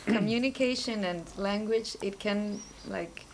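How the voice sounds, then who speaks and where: A woman's voice: mid-range voice, slow pace, a little hiss or hum, low-quality recording. Woman musing, full of "like". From Colombia. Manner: thoughtful, searching for words with many "like" fillers. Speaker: podcast guest, new team member. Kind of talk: casual group conversation.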